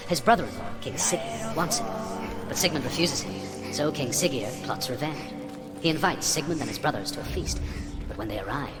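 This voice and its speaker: An actor playing a solemn storyteller of old Norse legends in a video game, grave, measured and dramatic. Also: male voice, fairly high pitch, animated, close to an everyday voice. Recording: loud music under the voice. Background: loud music.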